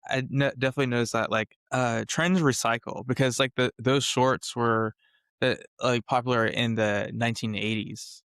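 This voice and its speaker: Young man with a smooth therapist-like voice. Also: medium pitch, steady tone, dry recording, clean studio sound. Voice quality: soft, gentle voice some call a therapist voice. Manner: thoughtful and measured, with frequent "like". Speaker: podcast co-host. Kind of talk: casual discussion.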